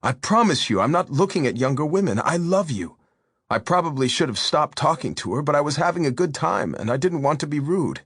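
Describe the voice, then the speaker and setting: A man's voice: on the high side, very animated, fairly quick, a bit bright, husky, dry recording, studio quality, voice pushed up, full character voice. A man voicing a younger, easygoing boyfriend who pleads and soothes in a storybook reading. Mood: placating.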